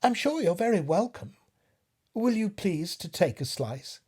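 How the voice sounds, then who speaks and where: A man voicing a cunning male spider from a storybook poem, coaxing and flattering with oily politeness. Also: sits high, unhurried, husky, pitched above the performer's own voice, exaggerated voice.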